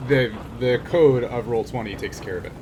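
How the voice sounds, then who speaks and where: A man running a tabletop game as game master, casually narrating and refereeing in a halting, thinking-aloud way. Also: mid-range voice, darkish tone, slight background noise.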